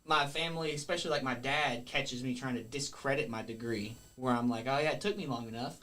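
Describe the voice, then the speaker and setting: A man's voice: medium pitch, fairly quick, sharp tone. A man, a wrestler guesting on a podcast, talking in a rambling, earnest way and correcting himself as he goes. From Kentucky. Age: 20s. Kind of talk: casual podcast interview.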